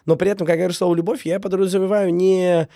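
A man's voice: high voice, little pitch movement, very fast, warm tone, no echo, clean studio sound. A man getting over a cold, a business owner talking earnestly in a casual conversation and repeating words for emphasis. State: recovering from a cold.

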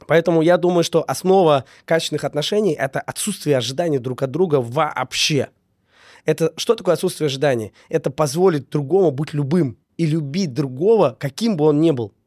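A man's voice: on the high side, fairly quick, darkish tone, no room sound, studio quality. A man getting over a cold, talking earnestly in a casual interview and repeating key words with many fillers. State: recovering from a cold. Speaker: business owner, guest.

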